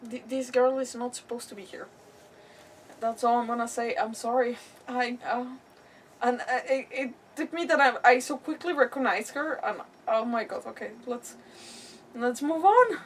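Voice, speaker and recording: A woman's voice: fairly high pitch, moderate pace, distant mic, a little hiss or hum. A woman streaming a video game with casual, rambling, emotive commentary. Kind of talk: casual live gameplay commentary. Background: game music.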